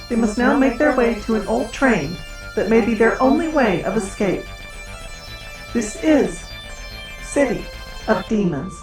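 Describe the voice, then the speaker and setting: A woman reads a scripted recap in measured announcer tones, a little higher than average. She sounds distant from the mic in an echoey room, with music playing softly behind her. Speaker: episode narrator.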